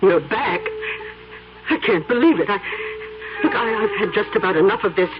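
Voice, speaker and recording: A woman's voice: mid-range voice, animated, moderate pace, over music, lightly acted. An actress voicing a flustered elderly woman in a 1970s radio drama, indignant and stammering. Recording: dull vintage radio broadcast.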